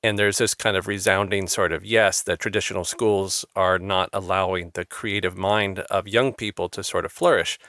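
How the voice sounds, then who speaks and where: A male interview host with a lowish voice, upbeat and effusive as he leads the conversation. His voice sounds close and dry, with no room around it. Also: fairly even intonation, moderate pace, few pauses, sharp tone, no room sound. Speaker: podcast host. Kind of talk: interview talk show.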